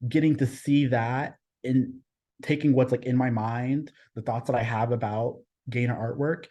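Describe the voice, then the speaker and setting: A man from Texas, a guest artist on a podcast, talking casually and thoughtfully, often pausing to find his words. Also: mid-range voice, fairly even intonation, dark tone. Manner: thoughtful, searching for words, frequent restarts.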